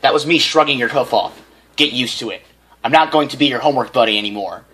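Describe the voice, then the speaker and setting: A man's voice: medium pitch, fast, bright, forceful tone, breathy edge, rough recording. A man voicing a bullied young male unicorn, defiant and taunting, in a storybook reading.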